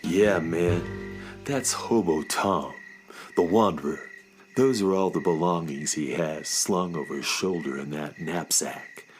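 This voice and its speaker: A man's voice: sits low, measured pace, faint music behind. An actor playing a laid-back, poetic beatnik cat father in a children's radio drama, with languid hip slang.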